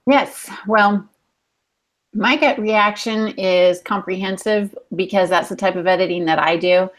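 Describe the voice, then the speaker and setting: A woman's voice: medium pitch, measured pace. A woman on a podcast panel, giving practical advice in a casual way as an editor.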